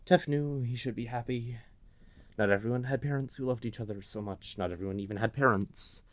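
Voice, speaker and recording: A man narrating a scripted fantasy story in a measured, dramatic way. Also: mid-range voice.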